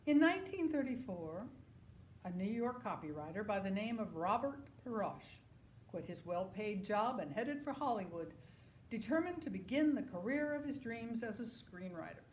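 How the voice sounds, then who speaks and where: A woman's voice: medium pitch, very animated, moderate pace, a little mellow, slight background noise. A woman performing a scripted letter reading in a theatrical style, expressively savouring individual words.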